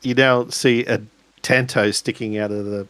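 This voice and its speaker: A man's voice: mid-range voice, steady tone, moderate pace, a bit bright, smooth, no echo. A man playing a tabletop roleplaying game, casually describing what happens.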